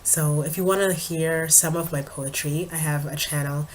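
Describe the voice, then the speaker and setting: A young woman vlogger and poet chatting casually to her camera at home, her voice a little raspy from a cold, with frequent ums and small laughs. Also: low voice, steady tone, moderate pace, slight background noise, low-quality recording. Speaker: vlogger and spoken word poet.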